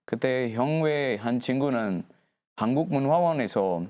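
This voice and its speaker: A young man from India, a guest on a TV talk show, speaking slow, searching Korean with restarts. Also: medium pitch, lively intonation, darkish tone, highs cut off. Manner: slow, searching non-native speech with restarts. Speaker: guest, taekwondo athlete. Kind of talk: casual chat on a TV talk show.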